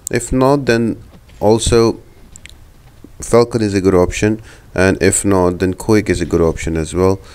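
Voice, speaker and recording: A man's voice: lowish voice, a little mellow. A man giving casual, explanatory walkthrough commentary for a video game as the host of a guide.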